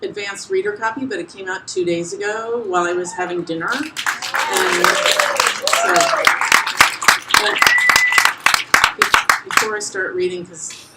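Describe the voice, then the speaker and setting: A soft-spoken woman, an author about to read, talking hesitantly with restarts. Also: mid-range voice, big pitch swings, measured pace, long pauses, over a noisy crowd, heavy background noise. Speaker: guest author. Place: bar with a live audience. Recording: distant, roomy bar sound.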